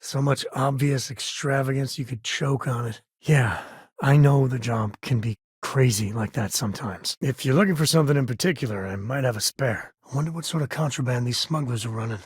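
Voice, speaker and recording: Wry, husky frontier dad. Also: male voice, medium pitch, fairly quick, no room sound, studio quality. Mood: wry, relaxed. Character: wry, devoted space-frontier father and ranger, sardonic but caring. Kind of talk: video game companion dialogue.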